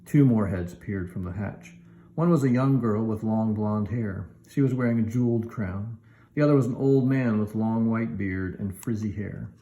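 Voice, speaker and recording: A man reading a children's storybook aloud in an animated narrating style. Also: on the low side, moderate pace, rough recording. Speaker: elementary teacher reading aloud.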